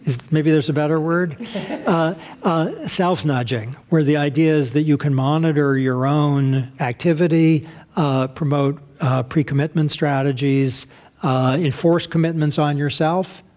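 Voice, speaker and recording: A man's voice: a little high, a little mellow. Hesitant man answering audience questions. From United States. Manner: thoughtful, hesitant with many fillers and restarts. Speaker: academic speaker responding to questions. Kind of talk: lecture response to audience questions.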